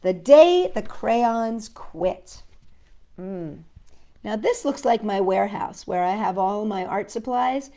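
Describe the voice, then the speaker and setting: A woman hosting children's storytime, reading a picture book aloud expressively and deliberately with chatty asides. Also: mid-range voice. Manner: expressive, deliberate, talking to young listeners.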